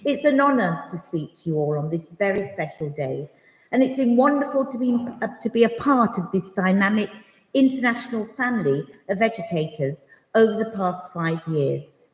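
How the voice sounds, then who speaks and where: Woman, head teacher, gracious and measured, roomy hall reverb. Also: mid-range voice, lively intonation. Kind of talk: formal speech. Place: large hall.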